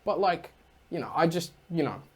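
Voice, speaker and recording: A man's voice: a little high, lively intonation, normal speed, a little mellow, slightly rough. A young man from New Zealand with a New Zealand accent, telling stories on a casual podcast in a rambling way full of "like". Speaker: podcast co-host. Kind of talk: casual storytelling chat.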